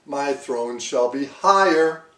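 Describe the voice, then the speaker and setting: A man's voice: medium pitch, animated, takes it slowly, sharp tone, roomy sound, distant mic. A man voicing a pompous, bullying turtle king in a children's storybook, with grandiose, commanding bellows. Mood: angry, commanding. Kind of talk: theatrical children's storybook read-aloud.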